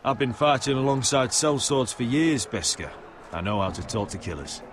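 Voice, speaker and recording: An actor playing a proud, confident young highborn mercenary in a video game, assured and probing. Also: male voice, lively intonation, normal speed, background noise, lightly acted.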